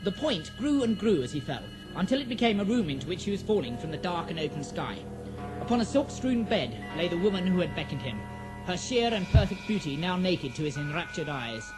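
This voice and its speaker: A man narrating a scripted fantasy audio drama in a theatrical, measured storytelling manner. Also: high voice, big pitch swings, music in the background, low-quality recording.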